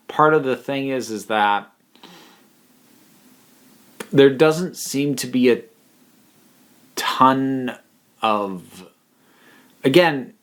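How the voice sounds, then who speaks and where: A man hosting a casual video review, talking to viewers in a halting, thinking-aloud way with emphatic pauses. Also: mid-range voice, a bit bright. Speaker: video reviewer and channel host.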